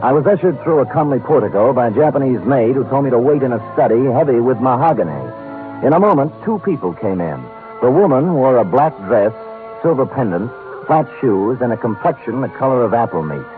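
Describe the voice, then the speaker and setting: A wry, hard-boiled gumshoe from a 1950 radio drama, played by a man. He is dry and terse at a medium pitch. The old broadcast is thin and phone-like, with faint music. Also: very animated, brisk, natural voice. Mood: wry, grim. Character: wry, hard-boiled male private detective. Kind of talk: 1950s radio noir acting and first-person narration.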